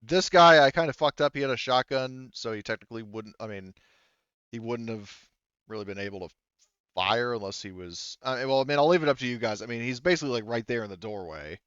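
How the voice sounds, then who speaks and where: A man's voice: mid-range voice, expressive, fast, a bit bright, smooth, no room sound, lo-fi sound. A man running a tabletop game as game master, narrating casually and thinking aloud in a hesitant way.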